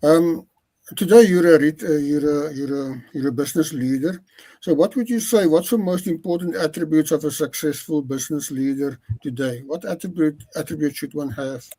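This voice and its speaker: A man's voice: a little high, dark tone, breathy edge. A man hosting a podcast interview, speaking formally and politely with frequent hesitations. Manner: polite, halting with many fillers. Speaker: podcast host.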